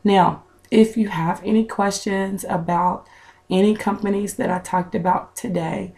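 A woman in her thirties with a raspy voice, chatting to viewers in a casual home vlog, recorded on a webcam indoors. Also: medium pitch, fairly even intonation, measured pace, a little mellow. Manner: chatty, direct to viewers, with fillers. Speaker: vlogger. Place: small room at home, indoors.

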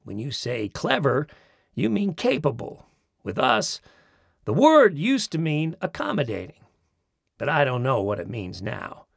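Gravelly-voiced man reading wryly. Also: medium pitch, wildly swooping pitch, unhurried, dry recording. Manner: mimics accents in quoted phrases, dry wit. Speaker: audiobook narrator. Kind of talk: expressive reading of a humorous essay. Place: studio. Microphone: close studio mic.

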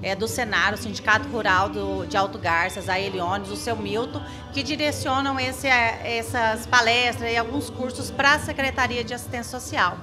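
A woman's voice: fairly high pitch, steady tone, moderate pace, hardly any pauses. A Brazilian woman in her thirties answering a TV interview into a handheld microphone indoors. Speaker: social assistance centre staffer. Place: small indoor room. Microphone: handheld reporter microphone. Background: music.

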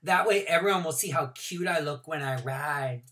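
A woman voicing a prissy, boastful little girl bragging in a high sing-song. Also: moderate pace, fairly bright tone.

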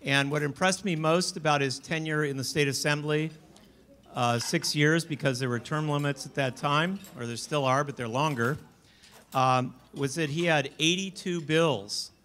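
A man at a podium in a large banquet hall introducing the keynote speaker, friendly and a little halting, chuckling between facts. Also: medium pitch, fairly bright tone. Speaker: event host introducing speaker. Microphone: podium microphone.

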